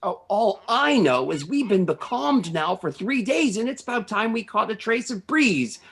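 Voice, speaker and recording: Man playing an anxious young mate, lively, husky. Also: high voice, normal speed, exaggerated voice. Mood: worried. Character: anxious, plain-spoken young male ship's mate. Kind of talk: radio play acting.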